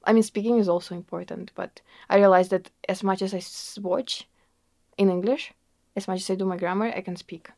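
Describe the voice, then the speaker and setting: Young woman, Russian accent, mid pitch, gravelly edge, earnest interviewee, dry room. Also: fairly even intonation, a little fast, darkish tone, no room sound. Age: young adult. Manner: earnest, fillers like "like" and "you know", laughs often. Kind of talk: casual conversational interview.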